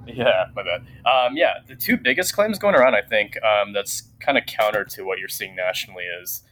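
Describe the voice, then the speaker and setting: A young man with a fairly low voice talks thoughtfully, pausing often with ums. He sounds like a guest speaking over a remote call. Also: lively intonation, poor audio. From Japan. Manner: soft-spoken with many filler ums. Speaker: guest, teacher. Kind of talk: casual interview conversation.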